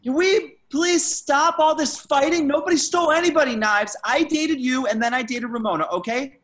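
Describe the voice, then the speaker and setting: A man reading the part of a hapless, earnest young slacker hero at an amateur table read, halting and excitable. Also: very high-pitched. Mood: flustered. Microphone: video call.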